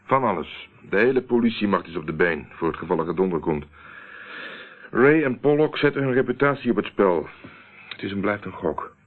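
An actor playing a cool, insistent investigator in a Dutch radio play, curt and in control. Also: male voice, on the low side, animated, brisk. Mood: calm, grim. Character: cool, commanding male investigator. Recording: muffled, tinny old radio recording.

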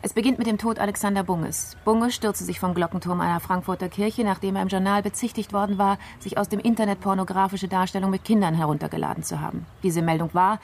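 Creaky-voiced female investigator, measured. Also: medium pitch, fairly even intonation. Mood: measured, explanatory. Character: methodical female investigator explaining how a murder case unfolded. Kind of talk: radio play acting.

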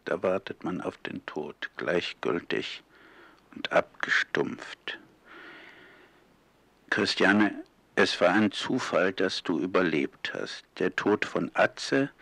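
A man with a low, creaky voice in a dry, close recording. He speaks slowly as a serious interviewer, direct and probing. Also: bright, forceful tone, no echo. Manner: direct, questioning.

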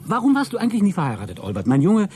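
A man's voice: high voice, wildly swooping pitch, very fast, darkish tone, a little hiss or hum. A man playing a mocking, scheming astronaut in a satirical science fiction radio drama. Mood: indignant, mocking. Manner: sardonic, needling. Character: mocking, scheming male astronaut, resentful of his crewmate.

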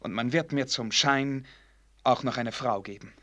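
An actor playing an earnest, sheltered young prince in a German radio drama, courtly and eager, with hesitant phrasing. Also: male voice, mid-range voice, measured pace, sharp tone. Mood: earnest, pleading.

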